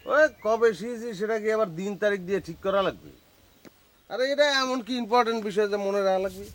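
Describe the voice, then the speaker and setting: A man's voice: high-pitched, steady tone, fast talker, slight background noise, natural voice. An actor playing a boastful, bossy village man in a comic TV drama, with a rural Bangladeshi dialect. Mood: smug.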